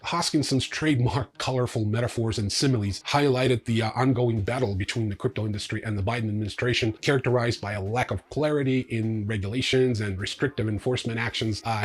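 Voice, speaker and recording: A man's voice: fairly low pitch, husky. A man hosting a news recap, reading scripted items with informal, wry asides. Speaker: crypto news show host.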